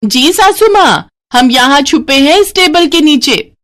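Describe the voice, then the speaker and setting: A woman voicing a naive young village daughter-in-law with a rustic eastern Hindi accent, exclaiming earnestly in an animated story. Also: high-pitched, unhurried, voice pushed up. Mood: alarmed. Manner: wide-eyed, exclaiming, earnest. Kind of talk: animated moral story character voice.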